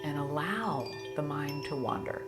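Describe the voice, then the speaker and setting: A woman teaching yoga nidra, gently and soothingly leading a guided meditation in a live online session. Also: sits low, animated, loud music behind the voice. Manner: soothing, gentle, unhurried invitations. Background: singing bowls and chimes.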